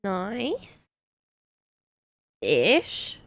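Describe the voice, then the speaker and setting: A woman's voice: mid-range voice, huge pitch swings, extremely slow, fairly bright tone, no room sound. A woman streamer chatting casually on a livestream, thinking aloud about game builds and laughing often.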